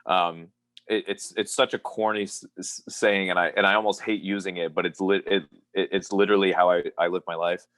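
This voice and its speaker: A man's voice: low voice, level tone, fairly quick, fairly bright tone, dry recording. A man from Pennsylvania, a professional wrestler, talking as a guest in a casual interview and telling rambling stories in an easygoing way. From Pennsylvania, USA.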